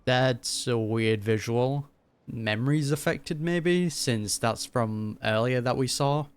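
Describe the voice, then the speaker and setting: A man's voice: medium pitch, slow pace, smooth. A young man giving casual, slangy reaction commentary on a video game as he plays. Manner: laid-back, slangy. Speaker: gaming reactor.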